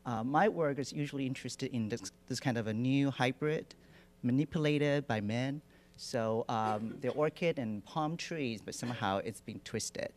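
A man's voice: mid-range voice, normal speed, slight background noise. Middle-aged man, mid voice, hesitant, wry; handheld mic, lecture hall. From Taiwan. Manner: relaxed, hesitant with many fillers, self-deprecating humor. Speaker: artist giving a lecture. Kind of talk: lecture presentation. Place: lecture hall with projection screen. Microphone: handheld microphone.

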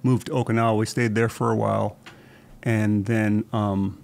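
A man in his forties, born in Thailand and raised in Southern California, telling his story as a podcast guest in a thoughtful, measured way. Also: fairly low pitch, level tone, vocal fry, a little mellow. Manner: measured, thoughtful, recalls details with small restarts. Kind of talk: relaxed conversational storytelling. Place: podcast studio with shelves and plants. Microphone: studio desk mic with headphones.

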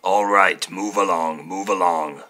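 A man's voice: low-pitched, steady tone, measured pace, bright, forceful tone. A man playing a stern, mean old judge in a fan audio drama, curt and dismissive. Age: older.

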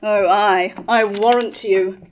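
A woman's voice: mid-range voice, steady tone, slow, lightly acted, low-quality recording. A woman in her thirties playing a scornful, scheming royal woman in a staged verse tragedy reading. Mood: curt. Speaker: actor reading Herod's scheming sister in a staged play reading. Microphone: stand microphone at a lectern.

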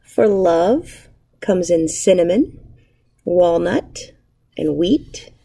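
A woman reads a children's picture book aloud in a slightly low, mellow voice with some gravelly fry. Her phrasing is expressive and measured, like a teacher at storytime. She is recorded in a classroom on a device at arm's length. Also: a little hiss or hum. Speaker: elementary school teacher. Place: indoors, empty school classroom.

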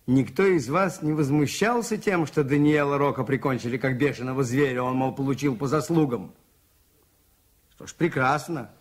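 An actor playing a shrewd, calm priest detective in a 1980s Soviet radio drama, measured and politely insistent. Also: male voice, mid-range voice, close to an everyday voice. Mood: firm.

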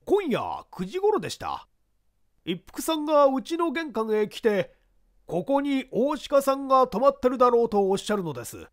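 A plain-spoken witness played by a male reader in a mystery story. The voice is strikingly high and very animated in pitch. The manner is polite, helpful and explanatory. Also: normal speed, full character voice. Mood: matter-of-fact. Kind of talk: dramatized mystery story reading.

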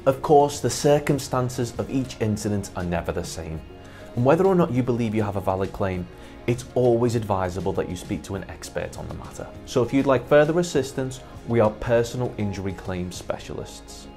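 A man in his thirties with a British accent, giving a scripted, measured and carefully articulated explainer to camera as a presenter for a claims firm. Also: medium pitch, animated, mellow, faint music behind. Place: indoor studio set with brick wall and armchair. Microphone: lapel mic.

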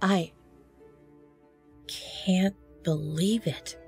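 An actress playing a practical, level-headed social worker in an audio drama, polite and matter-of-fact. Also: female voice, fairly low pitch, drawn-out words, faint music behind. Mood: stunned.

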